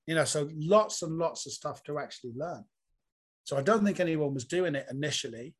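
A man's voice: on the high side, expressive, brisk, husky. A man, a comedian being interviewed, talking in a reflective, rambling storytelling way. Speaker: comedian guest. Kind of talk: casual interview chat.

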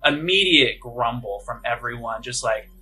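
A man's voice: mid-range voice, animated, normal speed, sharp tone, slightly rough, rough recording. A man working as game master, improvising conversational, descriptive narration for a tabletop roleplaying game.

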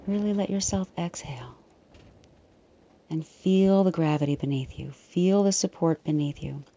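Female meditation guide, low and mellow, soft breathy near-whisper, reassuring and unhurried. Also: lo-fi sound. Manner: soothing, unhurried, gently reassuring.

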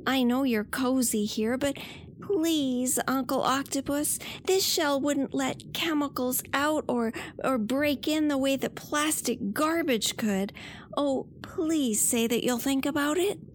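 A very high, childlike voice playing a plucky young octopus in a children's audio story. The delivery is earnest and unhurried, with slow, open-hearted phrasing, over faint background ambience. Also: female voice, a little hiss or hum. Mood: eager, pleading.

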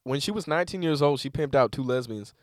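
Animated, lively-voiced male comedian. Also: medium pitch, fast, no room sound, studio quality. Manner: animated, laughs often, jumps into loud put-on voices. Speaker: podcast co-host, comedian. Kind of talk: casual comedic podcast banter.

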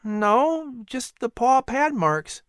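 A man's voice: extremely high pitch, sing-song, takes it slowly, fairly bright tone, clear, resonant tone, no room sound, clean studio sound, voice pushed up, exaggerated voice. A man voicing a curious young boy who answers carefully and eagerly. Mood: earnest.

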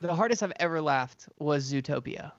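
A man with a high-pitched voice co-hosting a podcast in easy, casual chat. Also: moderate pace, no room sound, highs cut off. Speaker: podcast co-host.

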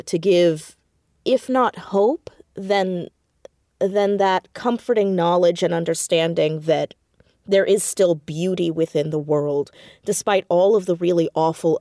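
A woman with a slightly low voice speaks as an interview guest. She is expressive and effusive, telling things in a conversational way. The sound is very dry and close, with no room around it. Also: dry recording. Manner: expressive, effusive, acts out quoted dialogue. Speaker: interview guest, audio drama creator. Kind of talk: animated conversational interview, storytelling with acted quotes.

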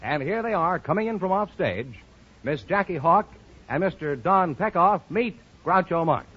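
Male quiz announcer, highish and raspy, muffled vintage radio broadcast. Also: lively intonation, normal speed. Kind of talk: old-time radio announcing.

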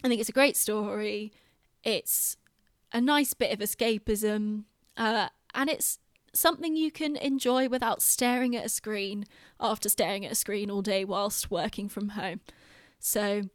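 British female, mid-pitched, informal podcast chat, lightly self-deprecating, dry sound with little room. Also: steady tone, measured pace, dry recording. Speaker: podcast host and theatre director.

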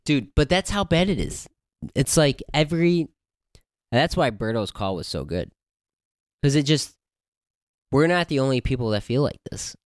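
A man's voice: medium pitch, very animated, moderate pace, long pauses, no room sound, studio quality. An American man co-hosting a sports podcast, chatting casually in a blunt, slangy, exasperated fan's way. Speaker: podcast co-host. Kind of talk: casual sports fan chat.

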